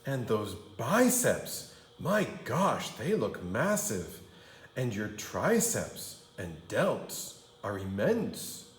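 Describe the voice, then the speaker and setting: A man's voice: fairly low pitch, huge pitch swings, drawn-out words, reverberant, full character voice. A man voicing a puzzled, suspicious young storybook girl with a wide-eyed, questioning, emphatic manner.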